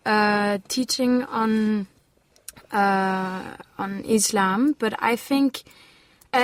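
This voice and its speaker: A woman's voice: mid-range voice, no room sound. A young woman, a journalism student, on a student radio panel, speaking hesitantly with many fillers. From half French, half Austrian. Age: young adult. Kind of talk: casual panel discussion. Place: small student radio studio.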